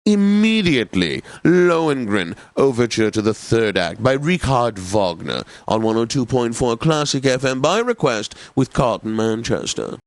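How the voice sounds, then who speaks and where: Snooty classical station dj for a game, super polite n theatrical, dry studio sound. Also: male voice, mid-range voice, lively intonation, measured pace, few pauses, no room sound. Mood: stately, obliging. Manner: formal, mannered, over-polite, theatrical delivery. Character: pompous, genteel male classical radio DJ, drily witty. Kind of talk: scripted radio announcing.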